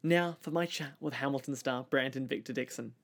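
A man's voice: medium pitch, a little fast, creaky. A young man with an Australian accent, hosting a podcast interview in polite, prepared phrasing, recorded in a roomy space. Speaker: podcast host.

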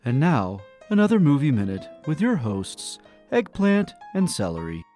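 An actor playing a formal but easily rattled radio announcer in a comedic radio play. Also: male voice, a little high, wildly swooping pitch, moderate pace, dark tone, soft background music. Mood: formal, promotional.

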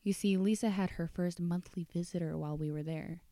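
A woman's voice: fairly low pitch, fairly even intonation, a little fast, dark tone, no echo, natural voice. A woman reading a short story aloud as a mild, apologetic mother, hesitant and placating.

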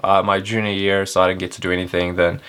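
A man in his twenties from Ethiopia, chatting casually as an interview guest in a halting way with many filler words. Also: sits low, level tone, a bit bright, clear, resonant tone. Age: 20s. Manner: halting, hesitant delivery with frequent filler words. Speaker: elite runner, interview guest. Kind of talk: casual chat.

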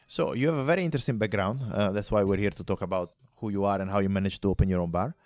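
A man's voice: fairly low pitch, racing, darkish tone, no echo, clean studio sound. A man hosting a podcast, asking questions in a casual chat. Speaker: podcast host, interviewer.

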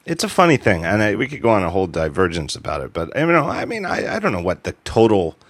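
Low-voiced man chatting animatedly. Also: fast talker, no echo. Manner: animated, rambling with restarts. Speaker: podcast host. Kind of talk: casual chat.

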